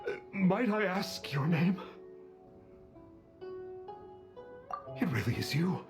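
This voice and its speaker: A man's voice: high-pitched, very animated, moderate pace, over music. An actor playing a fawning, earnest male fan and repentant impostor in a video game, speaking reverently and formally. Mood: awestruck.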